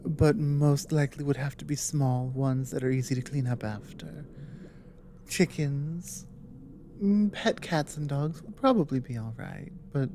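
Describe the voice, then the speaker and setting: A woman playing a weary, earnest dragon ally with magic in an ASMR audio roleplay, speaking in a measured, halting way. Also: very deep voice, dark tone, slight background noise, full character voice. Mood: earnest, reassuring.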